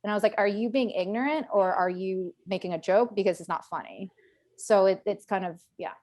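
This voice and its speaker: A woman's voice: medium pitch, a little fast, darkish tone, dry recording, studio quality. A woman veteran speaking on a video call in a casual webinar panel, chatty with many fillers and restarts. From Northern Virginia, USA.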